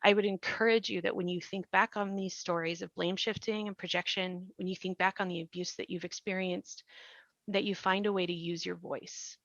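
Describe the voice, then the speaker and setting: A woman host and advocate speaking in an earnest, reflective way, measured and deliberate with pauses. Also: fairly low pitch, fairly even intonation, fairly bright tone, dry recording, lo-fi sound.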